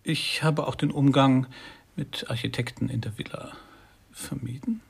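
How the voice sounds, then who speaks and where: An actor playing a fearful, reclusive writer in a radio drama, with a breathy voice and an aloof, precious manner. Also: male voice, medium pitch, steady tone, unhurried, exaggerated voice. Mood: aloof, disdainful. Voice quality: breathy, creaky. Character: reclusive, fearful, allergic male writer, disdainful of others. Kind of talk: German radio drama acting.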